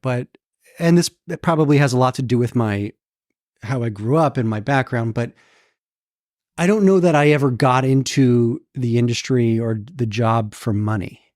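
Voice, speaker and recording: A man's voice: medium pitch, darkish tone, no room sound, studio quality. A man in his thirties speaking as an interview guest, reflective and earnest. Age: 30s. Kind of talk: casual interview.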